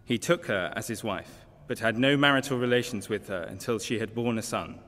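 A man giving a formal, measured and solemn scripture reading as a lesson reader at a church carol service. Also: lowish voice, fairly bright tone. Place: large reverberant church. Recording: long church reverb.

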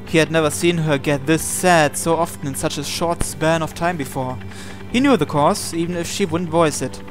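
A man's voice: on the high side, moderate pace, faint music behind. A man reading visual novel narration aloud, sometimes stumbling over and repeating words as he goes. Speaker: video game player reading aloud.

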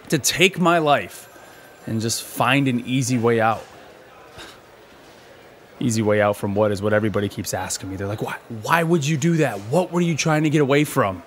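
Man acting a grieving soldier, halting, noisy background. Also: mid-range voice, fairly bright tone, natural voice. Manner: halting, sighing, emotional. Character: grieving, bitter, despairing male soldier in therapy. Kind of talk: audio drama monologue as personal log.

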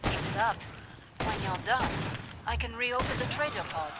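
Brisk bossy actress as trader, noisy battlefield. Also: female voice, on the high side, expressive, close to an everyday voice. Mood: brisk, commanding. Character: brisk, bossy female arms trader directing fighters. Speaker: in-game announcer. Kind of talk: video game radio briefing. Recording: loud gunfire and combat noise.

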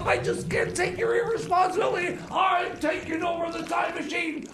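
A man's voice: very high voice, normal speed, raised voice, a loud crowd, very noisy. A man playing a flustered, indignant radio DJ in a comedy radio play, stammering and repeating his words. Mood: indignant, scolding. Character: flustered, indignant radio DJ berating his time machine mechanic.

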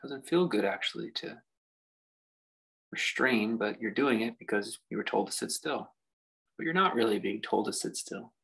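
Male meditation guide, gravelly and husky, contemplative delivery with lots of space between phrases. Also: fairly low pitch, animated, fairly bright tone. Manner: unhurried, reflective delivery with long pauses. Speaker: meditation teacher.

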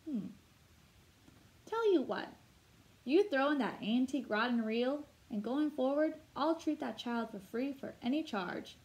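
A woman reading a storybook aloud as a jolly, helpful male doctor who talks briskly and decisively. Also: sits high, lively intonation, darkish tone. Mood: bargaining.

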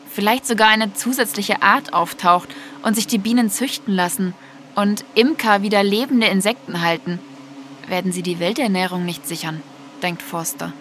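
A woman's voice: mid-range voice, fairly bright tone, a little hiss or hum. A woman narrating a scripted audio story.

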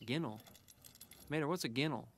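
A man voicing a cocky young race car in an animated game, with breezy, smug banter. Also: medium pitch, a little fast, natural voice. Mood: friendly, teasing. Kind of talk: animated game cutscene acting.

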